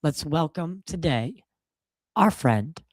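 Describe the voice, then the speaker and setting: A man with a gravelly, slightly hoarse voice, hosting a podcast in loose, casual, swearing chat full of fillers. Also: fairly high pitch, animated, slow pace, no echo. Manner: loose and profane, lots of fillers. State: a bit hoarse from a cold. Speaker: podcast host.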